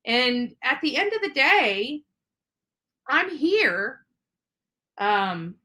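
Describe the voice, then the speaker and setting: A woman's voice: high voice, big pitch swings, extremely slow, pushed and bright. A woman giving unscripted online commentary in a rambling, repetitive way that leans on stock phrases.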